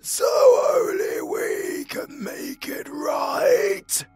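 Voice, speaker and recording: A man's voice: very high voice, extremely slow, no room sound, full character voice. A British man playing a tormented, starving undead husband in a horror audio roleplay, crying and pleading in anguish. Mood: grieving resolve. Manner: anguished, melodramatic pleading in broken shouts.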